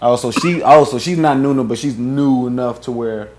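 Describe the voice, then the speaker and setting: A man co-hosting a casual podcast, swinging between loud outbursts and low mutters. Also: normal speed. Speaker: podcast co-host. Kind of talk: casual chat.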